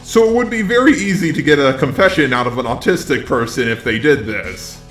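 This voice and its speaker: A man's voice: mid-range voice, lively intonation, a little fast, fairly bright tone. Echoey synthetic robot man. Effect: text-to-speech robot voice. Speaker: YouTube commentator responding to criticism. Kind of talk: commentary. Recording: echoey, faint music behind.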